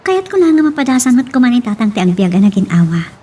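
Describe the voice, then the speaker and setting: A woman's voice: fairly high pitch, big pitch swings, quick pace, mellow, slightly rough, soft background music. A woman playing a proud, hardworking young student wary of her suitor, in an Ilocano-language radio drama. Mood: firm, guarded.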